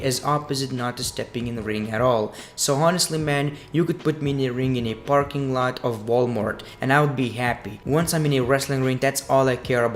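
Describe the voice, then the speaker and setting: A man's voice: medium pitch, a little hiss or hum. A man from Lithuania with a Lithuanian accent, giving casual, opinionated wrestling commentary in a blunt, crudely joking way. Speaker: wrestling news vlogger. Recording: echoey room with music underneath.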